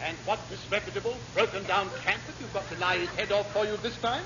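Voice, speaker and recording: Exasperated British naval officer played by a man, dry sarcasm, high voice, vintage radio comedy, heavy noise. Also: fast, natural voice. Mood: sarcastic. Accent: British English. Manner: drily sarcastic, theatrical. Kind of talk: 1950s-60s British radio comedy acting. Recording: muffled, very noisy old radio recording.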